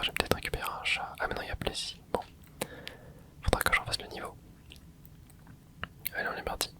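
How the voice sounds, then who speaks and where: A man whispering casual commentary as he plays a video game for an ASMR channel, dropping small interjections and explaining the game to his viewers. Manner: soft whispering with little interjections while playing. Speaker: ASMR gaming channel host.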